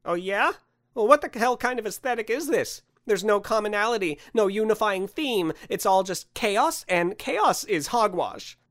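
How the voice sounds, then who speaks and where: A man's voice: very high-pitched, sing-song, moderate pace, a bit bright, no echo, clean studio sound, voice pushed up, heavily acted. A man voicing a fussy, anxious office worker in a story, whiny, indignant and flustered. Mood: exasperated.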